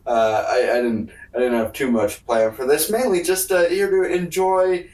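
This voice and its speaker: A man's voice: fairly high pitch, steady tone, moderate pace, a little mellow. A man co-hosting a podcast in casual comedic banter, irreverent, joking and sweary. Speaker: podcast co-host. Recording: roomy, echoey, distant from mic.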